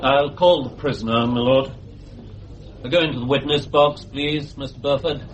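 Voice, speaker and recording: An actor playing a polite, persistent English defence barrister in a radio courtroom drama, formal and measured. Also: male voice, medium pitch, lightly acted. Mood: pointed. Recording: dull, noisy archive radio recording.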